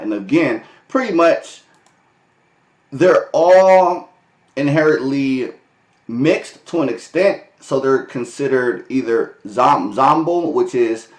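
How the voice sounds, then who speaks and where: An American man talking casually to his vlog viewers, with loose chatty delivery, restarts and frequent chuckles. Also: mid-range voice. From United States. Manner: loose and conversational, many fillers and restarts, laughs often. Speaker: vlogger. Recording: echoey room.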